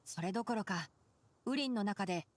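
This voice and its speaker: A woman voicing a calm, thoughtful young male ranger in an anime-style game, explaining things with composure. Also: mid-range voice, racing, no room sound, close to an everyday voice.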